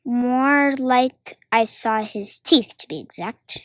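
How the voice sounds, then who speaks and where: Little kid reading book dialogue real slow, high voice, stumbles on words, dry sound. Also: fairly bright tone, dry recording. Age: child. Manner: sounds out words haltingly, needs prompting. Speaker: young volunteer reader of character dialogue. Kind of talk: halting read-aloud of story dialogue.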